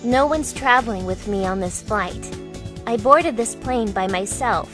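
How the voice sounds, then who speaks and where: Brief: young woman playing a musing, wry narrator, slightly high voice, clear music underneath. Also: lively intonation, unhurried. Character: young woman flying alone, wry and reflective. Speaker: first-person narrator. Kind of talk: amateur fandub voice acting. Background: background music.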